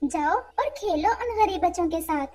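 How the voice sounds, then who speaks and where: A wise mother sparrow from a children's cartoon, voiced by a woman. She explains things gently and soothingly in a very high voice with lively pitch movement. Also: normal speed. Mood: gentle.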